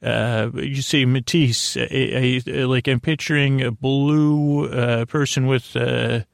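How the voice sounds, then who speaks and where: A man's voice: mid-range voice, fairly even intonation, slow, vocal fry, no echo, clean studio sound. A man hosting a sleep podcast, delivering a meandering, whimsical monologue that halts, digresses and circles back on itself. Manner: whimsical, musing to himself. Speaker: podcast host.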